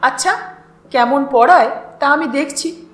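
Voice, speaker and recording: Lady as a pedantic stern uncle in a story, high voice, very measured, roomy sound. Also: fairly bright tone. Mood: exacting. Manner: exacting, pedantic. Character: stern, critical, feared uncle of the household. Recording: roomy echo with music behind.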